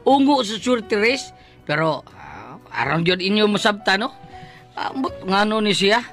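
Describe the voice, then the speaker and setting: An aggrieved, excitable husband in a Cebuano comic radio drama. His voice is sharp and whiny, leaping up and down as he complains about his bad luck. There is music behind him. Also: high voice, unhurried, full character voice. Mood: weary, explaining. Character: excitable aggrieved husband, luckless lottery bettor.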